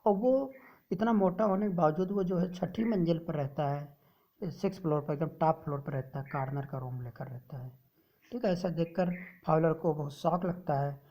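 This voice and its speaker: A woman teacher explaining a textbook story to students, reading English lines slowly and then explaining them in Hindi. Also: deep voice, highs cut off.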